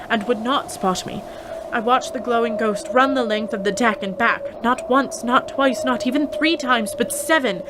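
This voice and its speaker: A high-pitched young heroine of a pirate-era radio play, played by a woman, brave yet frightened. She tells her story in measured, dramatic narration. There is noticeable ambient noise behind her. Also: lightly acted.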